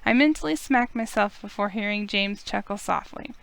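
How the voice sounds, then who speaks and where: A woman streamer reading visual novel narration aloud in an expressive storytelling style. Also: mid-range voice, moderate pace, gravelly.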